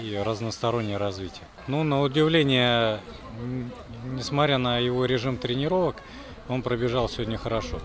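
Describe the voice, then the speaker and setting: A man in his thirties, a parent, talking outdoors in the snow in a plain, halting way. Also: lowish voice.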